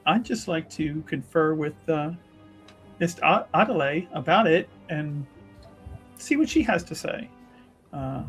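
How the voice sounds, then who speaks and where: Man playing a high, lively lady investigator. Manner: polite, roundabout, hesitant. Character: chipper, sly, well-mannered female investigator.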